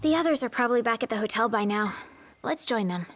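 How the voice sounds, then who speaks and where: Friendly, teasing teenage girl for a game cutscene, bright-spirited with animated, higher pitch. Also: quick pace. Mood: playful, upbeat. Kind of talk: video game cutscene acting.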